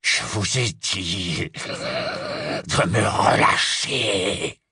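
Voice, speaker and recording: A menacing, sly storybook werewolf , acted by a male reader, deep and gruff. The voice rasps and growls, dragging its words out slowly with insolence. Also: pushed and bright, dry recording, studio quality, heavily acted. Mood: growling, defiant. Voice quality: rasping.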